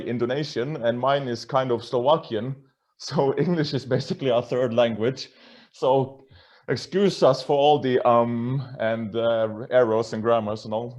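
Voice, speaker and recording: A man's voice: mid-range voice, a little mellow, lo-fi sound. A Norwegian man hosts a casual interview over a video call, speaking English as his third language. He talks in a chatty, self-deprecating way and often restarts his sentences. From Norway. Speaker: host.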